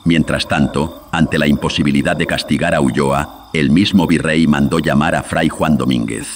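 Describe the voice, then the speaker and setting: An extremely low male voice narrates a horror radio drama. He tells it solemnly and dramatically, like an old radio serial, through a processed filter. Crickets and faint noise sit behind him. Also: steady tone, moderate pace.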